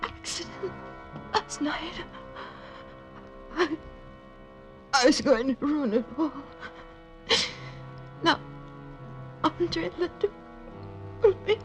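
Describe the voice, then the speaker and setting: A woman acting a dying wealthy widow in a 1940s radio drama, weak and gasping in halting, broken phrases. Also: high voice, expressive, faint music behind, exaggerated voice. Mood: fading, desperate.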